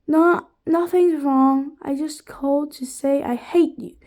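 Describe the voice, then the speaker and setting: A woman's voice: high voice, steady tone, slow pace, dark tone. A woman voicing a villainous teacher who fakes a little girl's whiny, spiteful voice on the phone.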